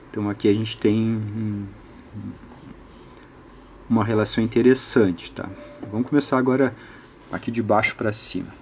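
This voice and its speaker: A man's voice: lowish voice, a little mellow, a little hiss or hum. A Brazilian man giving an unscripted technical tutorial, methodically thinking aloud and often adding short tag questions. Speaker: electronics hobbyist presenter.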